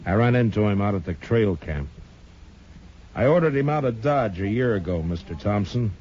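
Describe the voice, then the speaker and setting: A man playing a stern, watchful marshal of the Old West in a 1950s radio western, speaking in a measured, blunt, authoritative way. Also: lively intonation, a little mellow. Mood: businesslike. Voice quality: deep, firm. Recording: dull, hissy 1950s radio recording.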